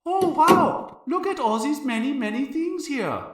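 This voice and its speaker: A man's voice: extremely high pitch, animated, unhurried, background noise. Male actor as haughty German studio diva, fussy delivery, wide melodic swings, audio comedy. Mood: mock-impressed, impatient. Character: fussy, bossy German singer in a studio duo.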